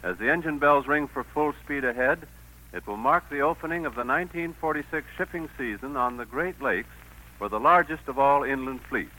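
A man with an American accent reads a sponsor's message on vintage radio. His mid-pitched voice is bright and forceful, with formal, measured delivery. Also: expressive, low-quality recording. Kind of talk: 1940s scripted radio advertisement. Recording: 1940s radio broadcast recording.

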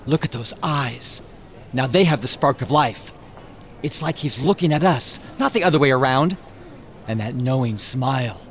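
A man's voice: mid-range voice, moderate pace, slight background noise, natural voice. An actor playing an eager, opinionated American showman with an emphatic manner in a radio drama. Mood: enthusiastic.

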